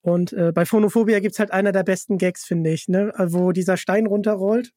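A podcast host chatting casually in an interview, with a halting delivery that often repeats words. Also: medium pitch, fairly even intonation, warm tone, dry recording, studio quality.

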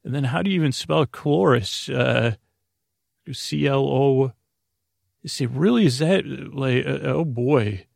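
Creaky, meandering male podcast host. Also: medium pitch, darkish tone, no room sound, clean studio sound. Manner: meandering, halting, mumbling. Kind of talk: rambling bedtime podcast intro monologue.

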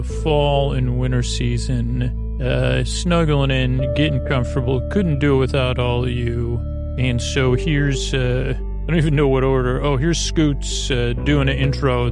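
A man hosting a podcast in a creaky voice, giving a soothing, meandering bedtime monologue with halting repeats and stretched pauses. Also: medium pitch. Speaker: podcast host.

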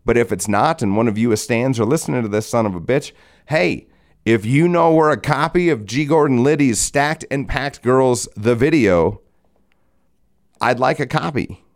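A man in his forties hosting a solo podcast, hoarse from a head cold, rambling and cursing with lots of restarts. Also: mid-range voice, normal speed. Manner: rambling, profane, self-mocking, frequent restarts. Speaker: podcast host. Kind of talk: solo casual podcast talk.

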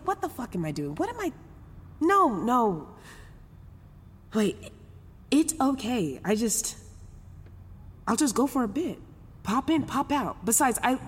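A woman's voice: mid-range voice, a bit bright, long reverb tail. An actress playing a volatile, foul-mouthed, wounded young woman in a naturalistic audio drama, rambling and arguing with herself. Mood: anxious, self-persuading. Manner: rambling, profane, argues with herself.

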